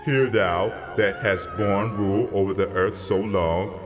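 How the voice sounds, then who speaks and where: A low-voiced, unhurried heavenly messenger, played by a man, delivers solemn scripture in archaic wording. The words ring through a long reverberant hall with a crowd audible behind. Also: steady tone, music in the background, lightly acted. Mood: stern. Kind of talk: dramatized scripture reading.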